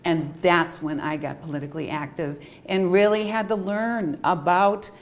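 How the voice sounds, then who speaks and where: A woman's voice: fairly low pitch, fairly even intonation, normal speed, slight background noise. A middle-aged woman from Minnesota introducing herself to a group in an emphatic, enthusiastic way, stretching words she stresses. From Minnesota, United States. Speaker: political discussion panelist. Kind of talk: casual personal introduction to a group.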